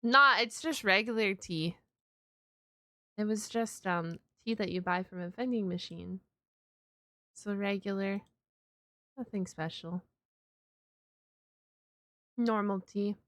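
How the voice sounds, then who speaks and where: A woman's voice: medium pitch, slow pace, pauses often, dry recording. A woman giving casual, chatty reaction commentary as a streamer, exclaiming and playfully repeating words. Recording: loud steady background noise.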